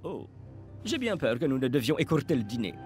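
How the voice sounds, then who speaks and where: Casting: male actor for suave, courteous cartoon host, very lively intonation, loud music underneath. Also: medium pitch, brisk, lightly acted. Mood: smooth, apologetic. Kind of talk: French cartoon dub.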